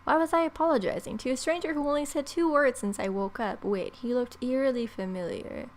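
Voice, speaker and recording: A woman's voice: sits high, brisk, a little hiss or hum. Wry young woman narrating a game. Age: young adult. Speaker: let's play host. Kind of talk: reading a visual novel aloud with joking asides.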